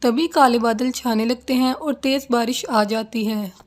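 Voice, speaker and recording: High-pitched woman narrating a cartoon story. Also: steady tone, measured pace.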